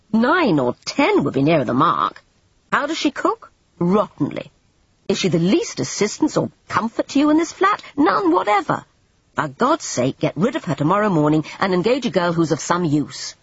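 A man's voice: on the high side, wildly swooping pitch, normal speed, husky, no room sound, heavily acted. A reader voicing an irritable, blunt man who scolds curtly, on a dull, dry recording. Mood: scolding. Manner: curt, scolding.

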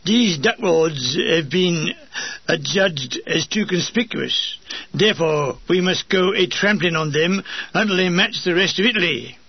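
A man's voice: sits high, expressive, unhurried, breathy edge, no room sound, dull audio, exaggerated voice. A man voicing an officious army sergeant with a clipped, nasal Cockney accent and pompously formal manner.

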